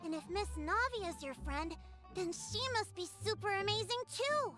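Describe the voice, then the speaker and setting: A woman voicing an eager little girl who is excited and wide-eyed. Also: very high voice, normal speed. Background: game music.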